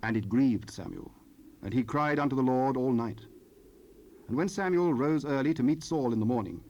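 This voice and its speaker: A man narrating scripture from a script in a solemn, measured manner. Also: mid-range voice, animated, darkish tone. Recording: noisy cassette rip, ambient sound.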